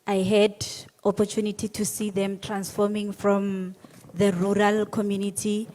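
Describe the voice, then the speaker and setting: Young female farmer from South Africa, mellow and even, polite, hall reverb. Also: mid-range voice, a little slow. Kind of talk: panel answer.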